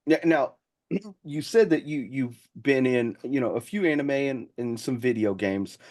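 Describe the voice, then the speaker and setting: A man's voice: medium pitch, animated, unhurried, darkish tone. A man hosting a casual interview, feeling his way through questions with hesitant, stammering phrasing. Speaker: podcast interviewer.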